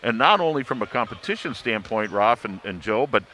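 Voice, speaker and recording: Bright, forceful man talking sports. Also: lowish voice, lively intonation, brisk. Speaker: sports radio play-by-play announcer. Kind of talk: pre-game sports panel discussion. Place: sports arena. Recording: clear background noise, venue ambience.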